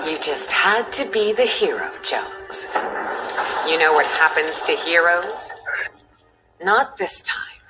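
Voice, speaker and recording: A woman's voice: on the low side, animated, slow pace, long pauses, low-quality recording. A woman playing a cold, sardonic game villain, taunting with a menacing drawl. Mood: menacing, mocking. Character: cold, mocking female villain taunting a captured hero. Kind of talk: cinematic video game villain acting. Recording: loud music under the voice.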